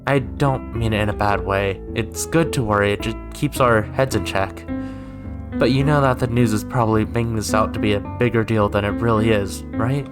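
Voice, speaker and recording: Man voicing a calm, soft-spoken woman. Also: fairly low pitch, normal speed, music in the background, close to an everyday voice. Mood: calm, reassuring. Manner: soft, whispery, soothing. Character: calm, reassuring woman, a caring schoolteacher.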